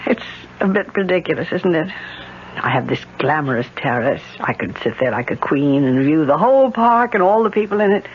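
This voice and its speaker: An American actress playing a proud, bitter, rich middle-aged widow in a radio drama, speaking with precise, sardonic theatricality. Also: female voice, sits low, animated, a little fast. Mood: wry, reflective. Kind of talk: 1970s radio drama acting. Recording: dull, noisy 1970s radio recording.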